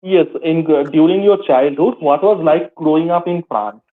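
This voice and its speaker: A man's voice: fairly high pitch, unhurried. A man from Kolkata with an Indian accent, interviewing casually and often repeating and rephrasing his questions. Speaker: interviewer, host. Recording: thin, phone-like, radio-sounding call audio.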